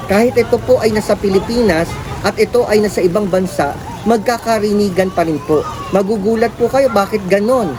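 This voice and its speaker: A Filipino woman presents an informal explainer in a mid-pitched voice. She is polite and instructive, often putting questions to the viewer. Clear outdoor traffic-like noise runs behind her.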